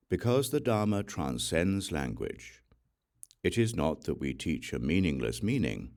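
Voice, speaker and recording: A man with an extremely low, gravelly voice reads sacred text as an audiobook. His delivery is solemn and deliberate, and his pitch moves expressively through each measured phrase. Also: darkish tone. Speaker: audiobook reader. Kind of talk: solemn scripture narration.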